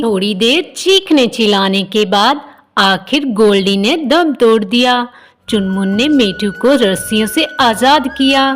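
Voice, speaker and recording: Woman narrator, children's story, scripted, slow, highish voice, music behind.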